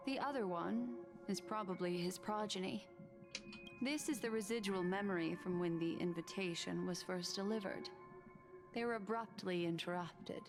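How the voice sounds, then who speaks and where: Actress doing ominous knowing game lady, measured n theatrical, music behind. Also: mid-range voice, close to an everyday voice. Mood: ominous, narrating. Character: poised, ominous woman who reads lingering memories. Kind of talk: scripted video game acting.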